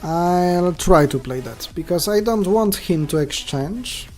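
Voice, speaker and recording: A man's voice: sits high, lively intonation, takes it slowly, a little mellow, soft background music. Polish-accented man commenting his live games. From Poland. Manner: thinks aloud, chatty asides to viewers. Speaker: checkers streamer.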